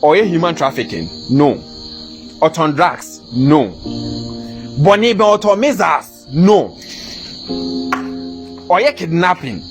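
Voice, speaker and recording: A man giving opinion commentary, asking questions and answering them himself. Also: high voice. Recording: music playing underneath. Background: music.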